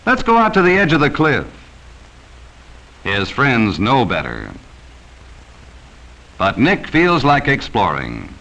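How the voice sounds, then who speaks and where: A man's voice: mid-range voice, huge pitch swings, slow, long pauses, pushed and bright, low-quality recording. An American man narrating a 1940s educational safety film from a script, speaking sternly and cautioning children directly, on a vintage film soundtrack.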